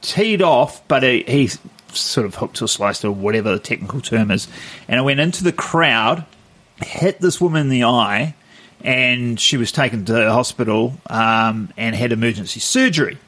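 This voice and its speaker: NZ male co-host, mid-pitched, laid-back anecdotes with frequent laughs. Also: lively intonation, moderate pace, fairly bright tone. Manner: easygoing storyteller, laughs often. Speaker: podcast co-host. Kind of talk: casual chat.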